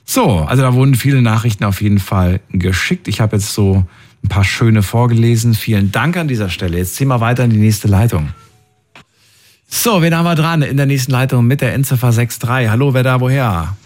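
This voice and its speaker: A man's voice: medium pitch, fairly quick, darkish tone. A man hosting a radio phone-in, chatting casually, reading out listener messages and questioning callers in an upbeat way. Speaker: radio call-in host.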